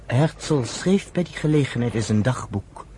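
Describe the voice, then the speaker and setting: A man's voice: medium pitch, expressive, unhurried, dark tone, a little hiss or hum. A man narrating a radio drama in a scripted storytelling style.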